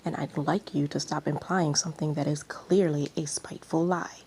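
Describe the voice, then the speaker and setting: A woman's voice: low-pitched, fairly even intonation, moderate pace, creaky, mellow, slight background noise. A woman as a cold, stern female designer.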